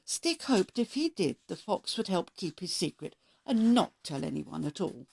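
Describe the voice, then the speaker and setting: A woman narrating a children's story as a read-aloud host, expressive and measured in her delivery. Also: fairly low pitch, vocal fry, husky.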